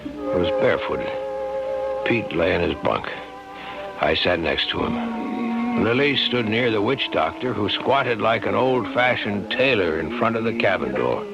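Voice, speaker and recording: A concerned, skeptical doctor narrating a radio drama. His lowish voice has a gravelly edge and expressive pitch. The vintage recording sounds muffled. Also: a little slow, over loud music, full character voice. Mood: somber narration. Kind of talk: radio drama acting and first-person narration.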